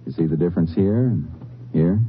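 An actor playing a calm, matter-of-fact Texas Ranger in a 1950s radio drama, questioning people in clipped, deadpan phrases. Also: male voice, low-pitched, brisk, slight background noise, close to an everyday voice. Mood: measured, probing. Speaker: lead actor and narrator. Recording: muffled vintage radio recording with music.

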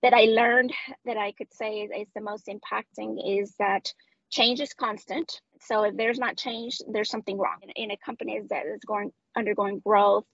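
A woman from Medellín, Colombia, with a Colombian accent and Spanish as her first language, speaking as a guest in a casual interview, often pausing and restarting. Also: medium pitch, flat delivery, gravelly, a bit bright, dry recording, dull audio. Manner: hesitant, many restarts and fillers. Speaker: guest, customer success director.

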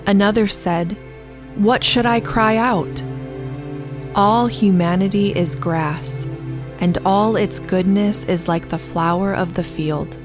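A woman reading scripture aloud in a measured, solemn manner, with instrumental music behind her. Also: mid-range voice. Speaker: scripture reader. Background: new-age instrumental music.

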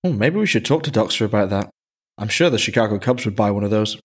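A man's voice: on the low side, animated, fast, husky. A man in casual conversation as a podcast co-host. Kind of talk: casual chat.

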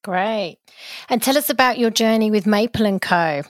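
A woman's voice: medium pitch, moderate pace, no echo. A woman hosting a podcast, welcoming her guest and asking prepared questions in an encouraging way. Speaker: podcast host.

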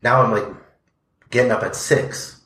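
A man's voice: medium pitch, fairly even intonation, unhurried, pushed and bright, reverberant. A man speaking as a podcast guest, earnest and reflective, with lots of "like" and "you know". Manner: earnest, filler-heavy, reflective. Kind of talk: casual interview conversation.

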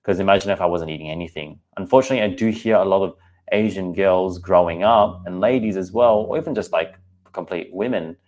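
A man's voice: deep voice, fairly quick, a little mellow. A man in his thirties with an Australian accent, talking candidly and chattily to his podcast listeners as host. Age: 30s. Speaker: podcast host and personal trainer. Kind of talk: casual podcast monologue.

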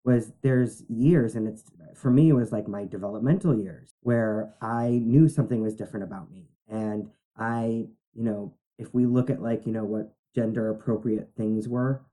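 A man co-hosting a podcast who talks in an animated, halting way with lots of fillers. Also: on the low side, low-quality recording. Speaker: podcast co-host. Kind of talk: casual conversation.

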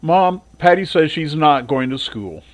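A man's voice: fairly high pitch, expressive, a little slow. A man playing a weary teen older brother, plain voice, firm, storybook. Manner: pleading then firm.

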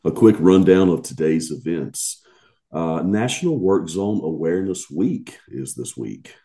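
A man co-hosting a podcast, chatting in a relaxed, hesitant way and laughing easily. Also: deep voice, a little slow. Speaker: podcast co-host. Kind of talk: casual podcast chat. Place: remote recording.

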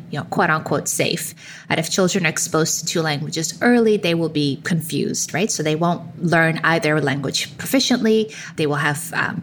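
A woman's voice: low voice, fairly bright tone, slight background noise. Woman researcher explaining with easy fillers. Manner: explains fluently with frequent "um" and "now" openers. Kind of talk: explanatory interview answers.

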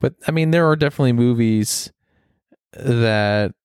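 A man with a bassy voice co-hosting a podcast in casual chat, joking and goofy. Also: normal speed, darkish tone, clean tone, no room sound, studio quality. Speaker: podcast co-host.

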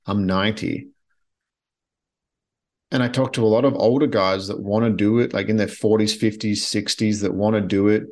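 A man's voice: lowish voice, fairly even intonation, normal speed. A man hosting a podcast, talking bluntly and with strong opinions in a casual monologue, often restarting his sentences. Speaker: podcast host.

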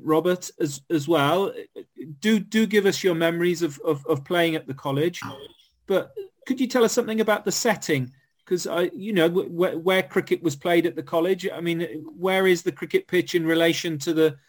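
A man's voice: high-pitched, moderate pace. A man hosting a group chat, asking polite, stumbling questions. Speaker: host, moderator. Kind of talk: moderating a group video chat.

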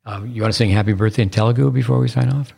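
A man's voice: medium pitch, fairly even intonation, racing, gravelly, darkish tone. A man hosting a tennis podcast, rambling in a casual way with many stumbling restarts and repeated words. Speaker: podcast host and tennis coach. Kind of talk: casual interview chat.

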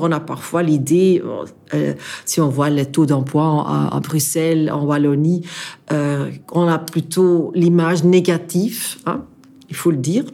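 A woman's voice: low-pitched, warm tone. A Flemish woman hosting an interview podcast in a language not her own, friendly and searching for words. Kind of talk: interviewer questions.

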